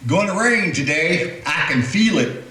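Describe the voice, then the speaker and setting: A man's voice: a little high, expressive, unhurried, pushed and bright. Sex: man. Figure: a man playing a superstitious frontier deputy. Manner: homespun, stubborn. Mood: insistent. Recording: echoey room, faint noise.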